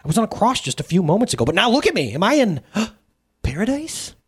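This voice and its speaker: A man voicing a newly redeemed former thief, speaking in high, breathless wonder. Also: sing-song, fairly quick, husky, heavily acted. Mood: amazed.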